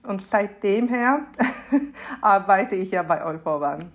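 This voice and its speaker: A woman's voice: medium pitch, lively intonation, slow. A woman in her thirties from Turkey with a Turkish accent, answering conversationally as a podcast guest and often giggling between phrases. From Istanbul, Turkey. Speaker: podcast guest, SAP consultant.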